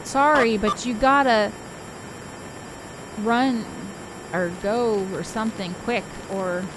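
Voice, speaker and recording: A woman's voice: on the high side, slow, noisy background. A woman streaming a visual novel, reading it aloud in a casual voice and chatting with informal, giggly asides. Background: game soundtrack music.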